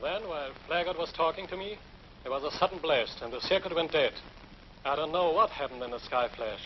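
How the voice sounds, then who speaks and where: An actor playing an anxious, stern prison warden in a 1950s TV drama, speaking urgently and formally. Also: male voice, a little high, brisk, slight background noise, close to an everyday voice. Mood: remorseful. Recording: dull lo-fi 1950s TV soundtrack.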